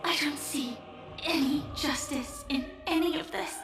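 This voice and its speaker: A woman playing a resolute young game heroine who defies fate, speaking quietly, deliberately and earnestly. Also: high-pitched. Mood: quietly defiant. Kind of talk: dramatic video game voice acting. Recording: echoey, distant, music underneath. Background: game music.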